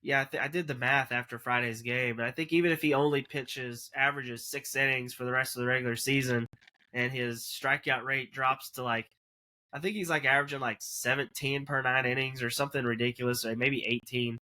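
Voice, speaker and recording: A man's voice: mid-range voice, steady tone, a little fast, bright, forceful tone. A man co-hosting a casual college sports podcast, rambling through numbers and correcting himself as he goes. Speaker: podcast co-host.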